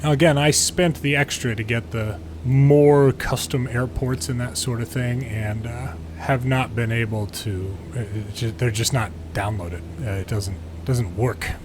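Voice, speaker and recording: A man's voice: medium pitch, a little slow. An American man giving casual, sardonic game commentary with exasperated asides. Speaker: gaming video host, pilot and former air traffic controller. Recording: steady background hum and noise.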